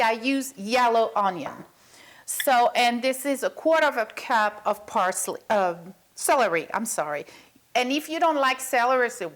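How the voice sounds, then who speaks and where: A woman's voice: on the high side, fairly bright tone, slight background noise. A woman from Belgium, chatting through a recipe as a cooking show host. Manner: chatty, talks viewers through each step. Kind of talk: cooking demonstration.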